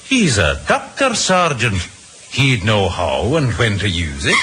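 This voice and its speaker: A man's voice: fairly low pitch, wildly swooping pitch, slow, exaggerated voice. A male actor playing a cautious, matter-of-fact chemist in an old radio drama, hesitant as he explains. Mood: cautious, informative. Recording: hissy old radio recording.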